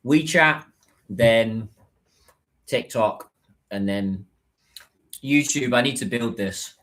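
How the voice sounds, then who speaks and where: A British man talks loosely to viewers on a livestream, his voice sitting at an ordinary middle pitch. He rambles, restarts sentences and fills gaps with "um" and "like". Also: slow pace, a bit bright. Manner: rambling, chatty, many fillers and restarts. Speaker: livestreamer and business content creator. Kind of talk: casual livestream chat.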